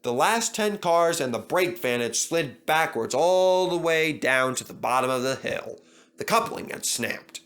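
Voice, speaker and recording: A man reading a children's railway story aloud in an expressive narrating style. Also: on the high side, measured pace. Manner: expressive, lively. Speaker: storybook reader.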